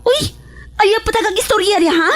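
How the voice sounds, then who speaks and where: A woman with a Cebuano accent acting a fed-up, quick-tempered wife who scolds emotionally in a radio drama. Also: very high voice. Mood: scolding, indignant.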